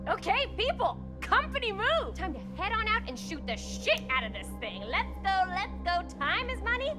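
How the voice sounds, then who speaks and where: A woman's voice: very high voice, very animated, normal speed. A woman playing a bossy, driven young amateur film director in a horror game. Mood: excited, commanding. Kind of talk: naturalistic horror game dialogue. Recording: loud music behind the voice.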